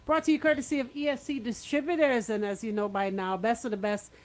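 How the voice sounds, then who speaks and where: A woman's voice: a little high, steady tone, quick pace. A woman hosting a radio segment in a casual, chatty style. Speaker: radio host.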